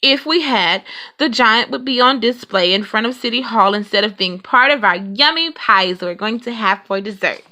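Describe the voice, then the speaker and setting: A woman's voice: a little high, normal speed, sharp tone. A woman voicing an outspoken, earnest young girl bear cub from a storybook. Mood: thankful.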